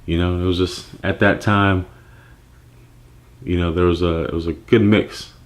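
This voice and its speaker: A man born in Nigeria speaks with a very low voice, recalling how his clothing brand came about. He talks in a loose, reflective way, pausing on fillers and restarting his sentences as he thinks. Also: fairly even intonation. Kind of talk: personal story monologue.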